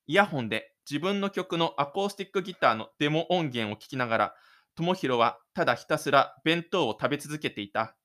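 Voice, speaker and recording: A man's voice: on the high side, expressive, fairly quick, sharp tone. A man presenting his own self-made audio drama, moving between casual radio-show talk and scripted narration.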